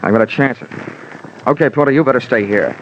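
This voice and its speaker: An actor playing a wry, slangy, hardboiled insurance investigator who also narrates an old-time radio drama. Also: male voice, medium pitch, brisk, slight background noise, lightly acted. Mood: determined. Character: wry, tough American insurance investigator hero. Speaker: lead and narrator. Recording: muffled old radio recording.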